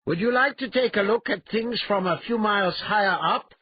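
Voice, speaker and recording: A man's voice: sits high, moderate pace, slightly rough, dry recording, lo-fi sound, voice pushed up. A man voicing a wily adventurer thief in a fantasy storybook, urbane, mock-polite and taunting. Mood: gloating.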